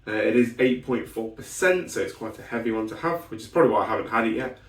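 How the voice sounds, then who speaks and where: Guy rambling about beers, voice still a bit rough from being ill, lots of ums, kinda far from the mic in an echoey room. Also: mid-range voice, animated, fast. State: recovering voice after illness. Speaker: beer reviewer. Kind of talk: casual solo beer review.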